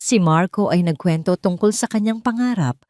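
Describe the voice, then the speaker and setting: Low-voiced woman narrating a dramatic story. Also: normal speed, darkish tone, dry recording, clean studio sound.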